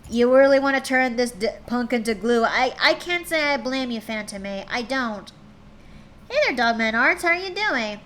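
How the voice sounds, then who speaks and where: Female streamer narrating a story live, casual and a bit stumbly, slightly high voice. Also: expressive, moderate pace, fairly bright tone, slight background noise. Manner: chatty, stumbling over words while reading. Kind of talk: reading fan fiction aloud on stream.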